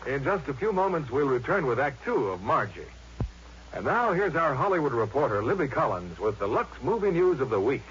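A man's voice: medium pitch, big pitch swings, fairly quick, fairly bright tone. An American man announcing in a polished 1950s radio style. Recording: dull, hissy 1950s radio recording.

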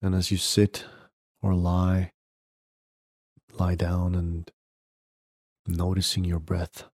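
A man leading a guided meditation, giving gentle step-by-step instructions in short phrases with long pauses between them. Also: low voice, monotone, no echo, clean studio sound. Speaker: podcast host guiding a meditation.

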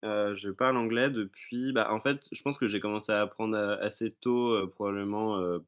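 Guest, young man, very low voice, hesitant and chatty over a remote call. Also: fairly even intonation, normal speed, clear, resonant tone. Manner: rambling, many fillers and restarts. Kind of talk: casual remote chat.

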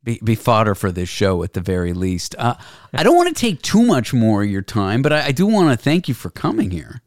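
A man's voice: lowish voice, wildly swooping pitch, fairly quick, dry recording, clean studio sound. A man with a Canadian accent hosting a podcast, chatting loosely and joking at his own expense. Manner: joking, rambling, self-deprecating. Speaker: podcast host. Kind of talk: casual chat.

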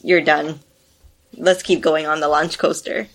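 Guest on a chat podcast, mid pitch, giggly and lively. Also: unhurried. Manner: giggly, animated. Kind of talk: casual chat.

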